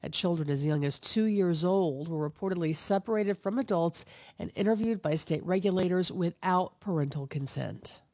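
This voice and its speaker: A woman's voice: lowish voice, moderate pace, a little mellow, no echo, clean studio sound. A woman with a stuffed-up nose reads news items on a talk radio show. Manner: plain news reading. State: stuffed up with a cold. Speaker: radio news reader and co-host. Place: radio studio.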